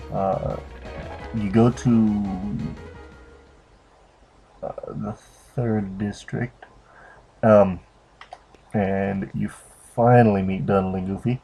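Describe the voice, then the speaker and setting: Low, mellow-voiced man rambling over a game. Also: steady tone, drawn-out words, vocal fry, long pauses, husky. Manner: rambling, hesitant, unsure of his facts. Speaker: let's play commentator. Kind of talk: casual unscripted game commentary. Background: game music and cutscene dialogue.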